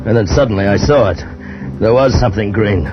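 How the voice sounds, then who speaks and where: An actor in old time radio drama playing a haunted adventurer, narrating and speaking with tense urgency on an old recording. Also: male voice, fairly low pitch, fairly quick, lightly acted. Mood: haunted, tense narration. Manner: tense, hushed narration breaking into desperate cries. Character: Johnny, haunted male adventurer narrating a desert ordeal. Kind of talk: 1950s old time radio drama acting, first-person narration and dialogue. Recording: muffled old radio recording with music underneath.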